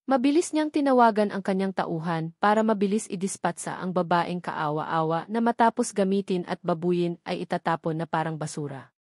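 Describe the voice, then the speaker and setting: A woman's voice: lowish voice, normal speed, a little mellow, no room sound, clean studio sound. A woman reading scripted fiction aloud as an audiobook narrator.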